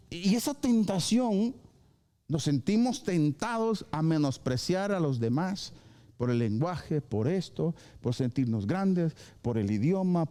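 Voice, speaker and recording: A male pastor preaching to his congregation at a live church service. His voice sits high for a man but has a soft, mellow tone. He preaches in a lively way, acting out quick exchanges and slipping English phrases into the sermon. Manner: lively, acts out short dialogues and slips into English phrases.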